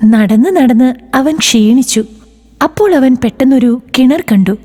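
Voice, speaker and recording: A woman narrating a children's moral story in an expressive, sing-song way. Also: high voice, a little slow, soft background music.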